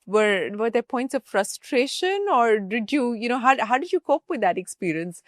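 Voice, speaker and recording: An Indian-accented woman leads a conversational business interview as host. Her voice sits a little high, and the recording is dry and close, with very little room sound. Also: sing-song, normal speed, no echo.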